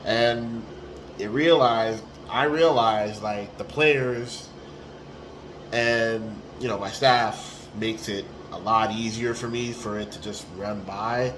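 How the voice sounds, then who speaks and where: A man in his forties with a hoarse, raspy voice, talking casually into a phone held at arm's length in a small room, with plenty of ums and restarts. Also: on the low side, slow pace, a little hiss or hum, poor audio. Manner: relaxed and rambling, with many ums and restarts. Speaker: tournament organizer. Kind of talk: casual selfie video recap.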